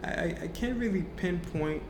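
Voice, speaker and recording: A man's voice: high voice, moderate pace, echoey room, background noise. A man from Haiti, a martial artist being interviewed, answering thoughtfully and earnestly, often repeating words as he builds his thoughts.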